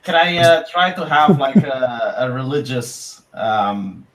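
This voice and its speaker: A man's voice: mid-range voice, lively intonation, slow, fairly bright tone, far from the mic, poor audio. A man playing a tabletop game, hesitant and restarting his sentences often. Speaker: player. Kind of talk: casual game chat.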